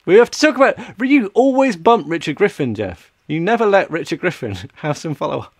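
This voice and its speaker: A man's voice: high voice, sing-song, a little fast. A man in his thirties with a British accent, hosting a tech podcast from a small home studio. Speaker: podcast host. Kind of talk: casual tech podcast chat, scripted sponsor read.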